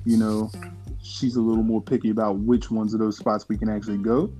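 A man's voice: on the low side, level tone, a little fast, warm tone, faint music behind. A man in casual podcast chat, rambling with many fillers and restarts.

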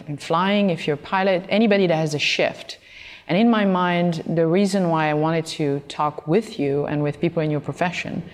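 A woman hosting a podcast, reading a scripted intro and then asking interview questions in a measured, deliberate way. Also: low voice. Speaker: podcast host.

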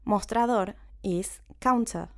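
A woman hosting a language-learning podcast, reading a story and vocabulary with clear, careful enunciation. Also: mid-range voice, slow. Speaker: podcast host and story narrator. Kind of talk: scripted narration for language learners.

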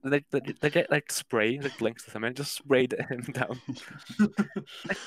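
A man's voice: mid-range voice, fairly even intonation, moderate pace, fairly bright tone. A young British man chatting casually and telling stories as a podcast co-host. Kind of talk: casual chat and storytelling.

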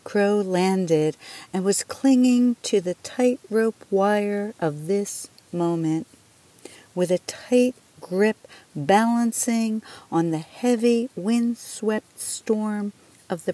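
A woman poet reciting her own verse solemnly, with deliberate, dramatic phrasing. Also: mid-range voice, dark tone, no echo. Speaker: poet reading own poems.

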